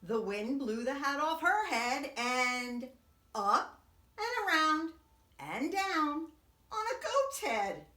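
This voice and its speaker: A woman, a preschool teacher, reading a picture book aloud to young children in an expressive, dramatic way. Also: high-pitched, slow pace. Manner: expressive, dramatic whispers and swoops. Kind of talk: animated read-aloud storytelling for young children. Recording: echoey room.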